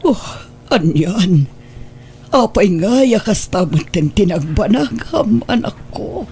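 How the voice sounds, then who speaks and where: A man's voice: high-pitched, sing-song, slow, vocal fry, warm tone, slight background noise, exaggerated voice. A man playing an anxious elderly parent fretting over a sick grown child, in a radio drama. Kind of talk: Ilocano radio drama acting.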